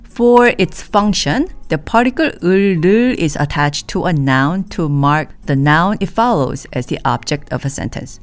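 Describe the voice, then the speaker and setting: A woman teaching a language in a scripted podcast lesson, saying model phrases slowly and clearly for listeners to repeat. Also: deep voice, animated, soft background music.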